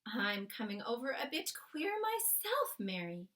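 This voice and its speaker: Lady doing a posh genteel queen for a kids story, high voice, very exclamatory, old fashioned wording. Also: big pitch swings, measured pace, fairly bright tone. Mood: shaken, earnest. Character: famous queen, self-controlled, genteel, easily horrified lady.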